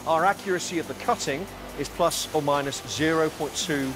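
A man in his forties leading a tour of a large factory floor, explaining each production step in a calm, clear way. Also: a little high, normal speed. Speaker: factory guide. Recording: music bed under voice.

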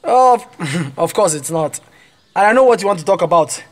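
Casting: taunting young Nigerian student bully, cocky, with a high-pitched voice. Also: male voice, lively intonation, moderate pace. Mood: mocking. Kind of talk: radio drama acting.

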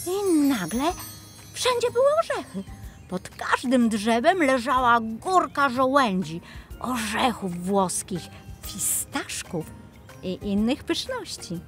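Expressive, animated woman storyteller. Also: on the high side, slow pace. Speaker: narrator. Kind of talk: expressive scripted fairy-tale narration for children. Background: music.

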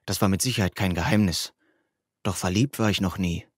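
A man's voice: deep voice, brisk, slightly rough, no echo, clean studio sound. A man reading a teen novel as a scripted first-person audiobook narration.

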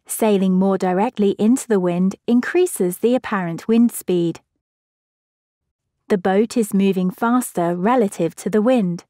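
A woman with a mellow, soft-edged voice reads a scripted science explainer in short, even clauses. The sound is dry and close, with no room around it. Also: medium pitch, no echo. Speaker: narrator.

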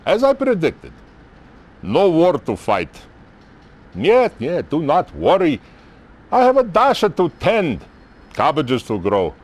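Slow-spoken older Soviet general, Russian accent. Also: male voice, fairly high pitch, wildly swooping pitch, a little mellow, heavily acted. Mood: rueful, resigned. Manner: theatrical, deliberate, pausing between short phrases. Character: weary, sardonic older Soviet general addressing a comrade. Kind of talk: dramatic game cutscene acting.

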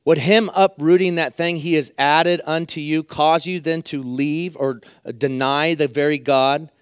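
A middle-aged man preaching a sermon from a church pulpit, his voice hoarse, earnestly repeating phrases for emphasis. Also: a little high, fairly even intonation, a little slow, no echo, studio quality. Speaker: pastor. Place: church sanctuary at a pulpit, indoors.

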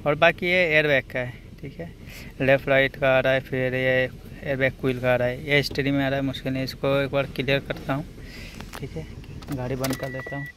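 A man's voice: medium pitch, little pitch movement, a little fast, a bit bright. A man working as an auto electrician explains a repair step by step in an informal, hands-on tutorial style, often adding short check-in tags. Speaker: auto electrician and video host. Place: in and beside a car, at the engine bay. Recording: noisy handheld workshop recording with engine noise.

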